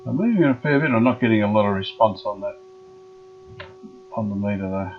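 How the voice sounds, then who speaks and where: A man restoring an old radio, explaining each step in an unhurried way with many pauses and fillers. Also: fairly low pitch, animated, muffled recording, a little hiss or hum. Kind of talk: casual workshop demonstration.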